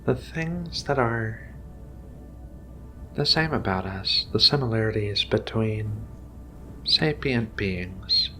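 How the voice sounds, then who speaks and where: A gentle giant alien cat woman, played by a man, speaks slowly in a soothing ASMR roleplay. The voice is bright and crisp-edged, caring and a little hesitant, over clearly audible music. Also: medium pitch. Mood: tender. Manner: soothing, hesitant, slow reassuring delivery. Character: gentle giant feline alien researcher Ragna, female, caring.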